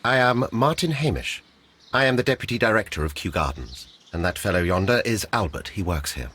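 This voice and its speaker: Husky, low, officious male administrator. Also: big pitch swings, brisk, bright, forceful tone, heavily acted. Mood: stiffly formal. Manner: officious, brusque, formal. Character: curt, bossy male deputy director of botanic gardens. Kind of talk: video game voice acting.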